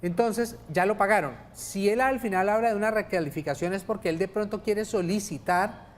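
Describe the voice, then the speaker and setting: A man's voice: high voice, fairly quick. A man with a Colombian accent, a lawyer guest on a TV studio show, explaining pension cases patiently and checking in with his listeners.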